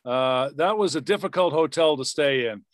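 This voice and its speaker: A man hosts a conversational podcast interview. His voice sits a little high for a male speaker, and it is recorded very dry, with no room sound. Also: moderate pace, no echo. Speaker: podcast host, former radio play-by-play announcer.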